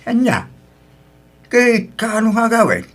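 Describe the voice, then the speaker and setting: A man's voice: extremely high pitch, sing-song, slow pace, a bit bright, full character voice. A man acting in an Ilocano radio drama as a wary, disapproving older father of a grown daughter, speaking with an Ilocano accent. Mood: suspicious.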